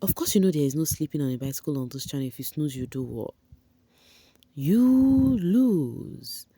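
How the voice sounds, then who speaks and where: Low-voiced woman gossiping breezily. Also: lively intonation, moderate pace, warm tone, husky, no room sound. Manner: breezy, gossipy, with slangy asides and "you know" fillers. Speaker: entertainment vlogger. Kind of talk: chatty gossip recap to camera.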